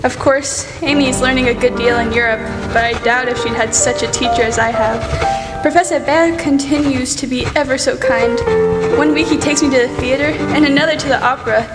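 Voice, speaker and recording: An earnest, forthright young woman writer played by a student actress in a radio play. Her voice is high and theatrically expressive. She sounds out in a reverberant hall, with music clearly playing behind her. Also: a little fast, close to an everyday voice. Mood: chatty, affectionate. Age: teenage student.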